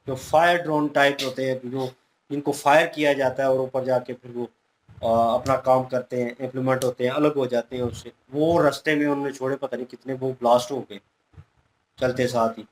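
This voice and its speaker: A man hosts a casual reaction chat in an average-pitched voice. He explains things conversationally, reaching for everyday examples. A little ambient noise sits behind him. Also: low-quality recording. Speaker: reaction video host.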